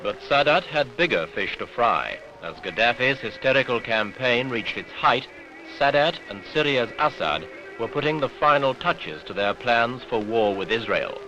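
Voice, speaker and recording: A man's voice: medium pitch, expressive, normal speed, highs cut off, background noise. A British man reading scripted newsreel narration with pointed, dramatic phrasing on an old 1970s soundtrack.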